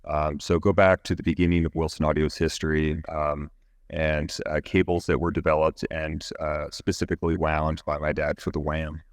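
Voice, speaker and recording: A man giving technical, explanatory interview answers as a company executive, measured and often halting mid-phrase. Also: rumbling low, little pitch movement, no echo, clean studio sound. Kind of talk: explanatory interview answers about product engineering.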